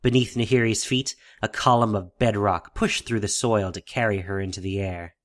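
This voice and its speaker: A man's voice: on the low side, steady tone, normal speed. A man narrating a fantasy audio drama from a script in a dramatic storytelling style.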